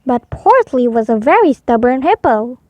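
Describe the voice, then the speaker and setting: A woman reading a picture book aloud for young children, expressive and deliberate, in a slightly muffled recording. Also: high voice, no room sound. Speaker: storybook reader.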